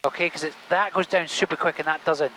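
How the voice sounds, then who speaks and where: A man's voice: a little high, fairly even intonation, fast, bright, forceful tone. A man instructing in flight over a cockpit headset, casual, reassuring and sweary, with engine noise. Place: small helicopter cockpit in flight. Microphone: aviation headset.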